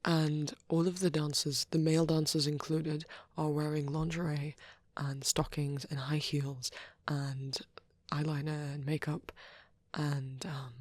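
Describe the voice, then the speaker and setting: Soft, breathy, low-pitched woman rambling. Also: flat delivery, a little slow, dry recording. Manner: shy, hesitant, breathy half-whispering. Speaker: ASMR roleplay creator. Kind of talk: soft rambling ASMR chat. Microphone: close microphone.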